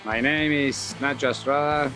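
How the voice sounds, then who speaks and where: A man's voice: medium pitch, steady tone, normal speed. Man from Argentina over guitar music. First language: Spanish. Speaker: polo player. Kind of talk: casual interview answers.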